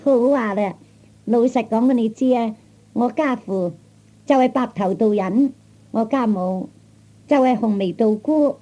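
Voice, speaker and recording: A man's voice: extremely high pitch, unhurried, pauses often, dark tone. A man voicing a feisty, haughty young woman with a Cantonese accent, in old radio comedy style. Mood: boastful. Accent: Cantonese, Hong Kong or Guangdong. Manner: sassy, scolding, self-assured. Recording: dull old 1960s comedy record.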